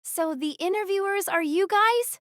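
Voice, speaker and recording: A woman playing a brisk young squad girl. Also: extremely high pitch, no room sound, clean studio sound. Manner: direct, brisk. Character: brisk young female platoon member. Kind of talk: fan audio drama acting.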